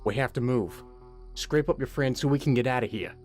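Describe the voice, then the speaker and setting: A man playing an irritable older survivor, a gruff uncle in an audio horror story, curt and grumbling. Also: medium pitch, brisk, darkish tone, faint music behind, close to an everyday voice. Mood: urgent.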